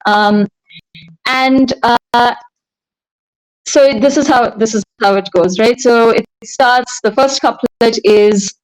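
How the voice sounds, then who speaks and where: Brief: middle-aged woman guest, a little high-voiced, weighs each word as she speaks. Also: slow, rough recording. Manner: thoughtful, searching for words, soft laughs. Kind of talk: reflective interview conversation.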